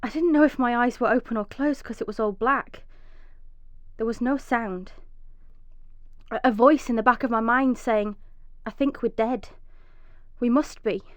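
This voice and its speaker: A woman's voice: a little high, no echo, lightly acted. A woman playing an anxious, loving young wife and mother in a radio drama. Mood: frightened, tense narration. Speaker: actress and narrator in a radio drama. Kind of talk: radio drama acting, dramatic first-person narration.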